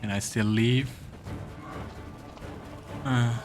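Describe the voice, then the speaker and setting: A man's voice: mid-range voice, fairly even intonation, drawn-out words. A man hosting a let's play, casually commenting, musing aloud and reading game text. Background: faint game music.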